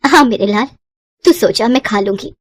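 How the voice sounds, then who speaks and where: A woman voicing a poor young village mother, tender but weary, in an expressive cartoon-story manner. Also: fairly high pitch, normal speed, no echo, clean studio sound, close to an everyday voice. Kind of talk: Hindi moral-story cartoon dialogue.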